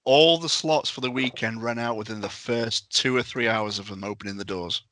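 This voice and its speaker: Man, lowish pitch, bright sharp tone, dry sound, casual podcast talk. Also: normal speed, dry recording.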